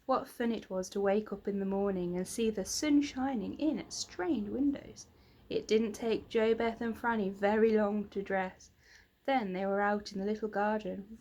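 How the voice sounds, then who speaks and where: A woman reading a children's story aloud in an expressive storytelling manner. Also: medium pitch, creaky. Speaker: reader of children's stories. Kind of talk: expressive scripted storybook narration.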